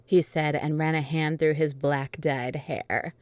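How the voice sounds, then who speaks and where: A woman's voice: sits low, steady tone, unhurried, darkish tone, dry recording. A woman hosting and narrating an amateur dramatic reading, with casual chat along the way. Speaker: host and narrator.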